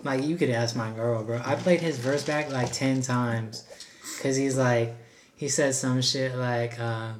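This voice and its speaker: A man's voice: mid-range voice, a little slow, a little hiss or hum. A young man with a light voice chatting casually on a podcast, telling stories with lots of laughs and quoted lines. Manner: animated storyteller, laughs often, quotes himself. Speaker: podcast guest, rapper. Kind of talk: casual chat.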